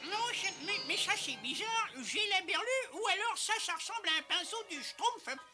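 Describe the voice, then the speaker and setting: A tiny, spirited cartoon creature, female and flirtatious. Her pitch is extremely high, with music playing underneath. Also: animated, normal speed. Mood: puzzled. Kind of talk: animated cartoon dialogue.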